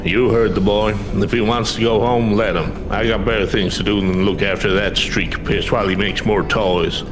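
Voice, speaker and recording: Man as a gruff smith, hard-drinking, coarse, flippant, low pitch, game dialogue over music. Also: steady tone, moderate pace, natural voice. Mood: dismissive.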